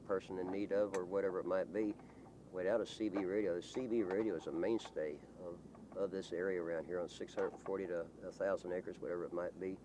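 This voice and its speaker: A man with a very deep voice talks casually to an interviewer. He rambles in a folksy, halting way, like someone used to telling local stories. The recording carries clear background noise and vehicle rumble. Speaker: local resident being interviewed.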